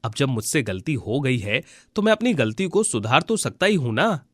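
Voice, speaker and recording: A man playing a kind, honest, devout villager in an animated moral tale, speaking in an earnest, pleading way. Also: mid-range voice, normal speed, no room sound, clean studio sound.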